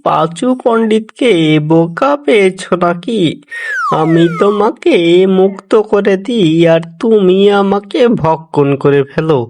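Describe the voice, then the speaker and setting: A man's voice: sits high, animated, measured pace, a little mellow. An actor playing a clever, fretful Brahmin pandit in a cartoon fable, a man's voice. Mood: dismissive, breezy. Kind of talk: cartoon voice acting.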